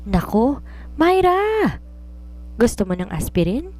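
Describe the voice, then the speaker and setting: A woman's voice: on the high side, big pitch swings, slow pace, a little mellow, voice pushed up. Story role: snobbish gal pal, amused and dismissive, teasing delivery , done by a female narrator. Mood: amused, mocking. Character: amused, teasing, snobbish young woman friend.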